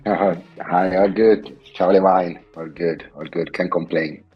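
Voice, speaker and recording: Very deep husky Italian-accented man. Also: steady tone, highs cut off, soft background music. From Italy. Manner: halting, repeats phrases, many fillers. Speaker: guest artist. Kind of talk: casual interview chat.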